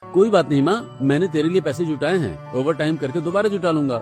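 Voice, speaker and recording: A man's voice: on the high side, a little fast, darkish tone, soft background music, voice pushed up, lightly acted. A man voicing a poor, devoted young working brother in a cartoon story, earnest, reassuring and affectionate. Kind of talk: Hindi cartoon story dialogue.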